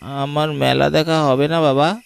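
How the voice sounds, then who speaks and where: A man's voice: a little high, level tone, a little slow, creaky, clean tone. A man voicing a young son in a horror cartoon, plaintive and questioning as he talks to his father. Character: young son, eager for the fair, pleading with father.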